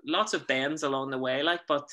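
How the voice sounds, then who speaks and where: A man's voice: fairly high pitch, fairly even intonation, normal speed, bright, forceful tone. A man in his thirties with a Northern Irish accent, chatting as an interview guest over a video call from a small room at home, telling stories with many fillers. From Northern Ireland. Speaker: guest, stage actor and singer. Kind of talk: casual conversational interview. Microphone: webcam on a video call.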